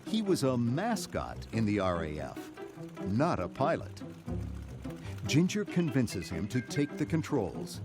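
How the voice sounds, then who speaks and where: A male narrator tells a children's story with dramatic, measured delivery. He speaks slowly with a very expressive range of pitch, and music plays clearly behind him. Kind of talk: scripted children's read-along story narration.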